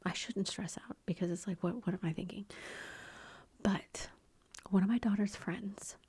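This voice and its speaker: A middle-aged woman chatting in a soft, rambling whisper for her ASMR channel, confiding and talkative. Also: medium pitch, moderate pace, breathy edge. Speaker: ASMR YouTuber.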